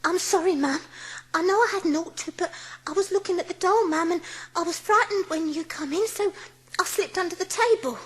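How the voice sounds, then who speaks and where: A woman's voice: extremely high pitch, fairly quick, rough recording. A woman playing a timid young scullery maid in an audiobook drama, anxious and deferential, with an English working-class accent. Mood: frightened, apologetic. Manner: deferential, anxious, breathless pleading.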